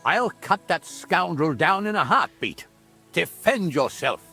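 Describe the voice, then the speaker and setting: An actor playing a boastful bailiff who poses as a chivalrous hero, with pompous courtly flourishes, in a period video game. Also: male voice, a little high, very animated, a little slow, pushed and bright, husky, faint music behind, exaggerated voice. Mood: bold, triumphant.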